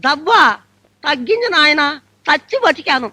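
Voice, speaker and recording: An actress playing a very old, hard-of-hearing bride who mishears everything and talks in a thin, quavering voice. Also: female voice, high voice, animated, moderate pace, bright, forceful tone, no echo. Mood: confused. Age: older. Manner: mishears everything, wails dramatically. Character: deaf, frail ancient spinster bride, shy.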